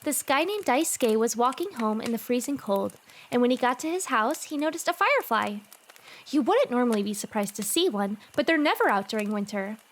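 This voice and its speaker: A woman's voice: a little high, animated, a little fast, slight background noise, lightly acted. A woman acting a sweet, easily exasperated teenage girl in a fan audio drama, casual and girlish. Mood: storytelling.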